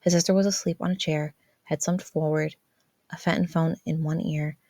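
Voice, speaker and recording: Low-voiced woman narrating a story. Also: steady tone, normal speed, dark tone, dry recording. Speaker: podfic narrator. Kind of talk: scripted fan fiction narration.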